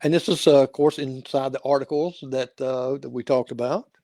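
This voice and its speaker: An older male host asks questions in a halting, easygoing way, full of little restarts. His mid-range voice sounds close and dry, with no room around it. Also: medium pitch, steady tone, dark tone, no echo. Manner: halting, many fillers and restarts. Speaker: talk show host. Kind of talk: casual interview chat.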